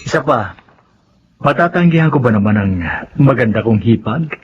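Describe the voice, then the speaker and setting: Actor as jovial, muffled-sounding brother-in-law. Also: male voice, mid-range voice, expressive, moderate pace, lightly acted. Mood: hearty, pleased. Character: Leandro, jovial flattering married brother-in-law. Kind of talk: radio drama acting. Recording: muffled radio drama, faint noise.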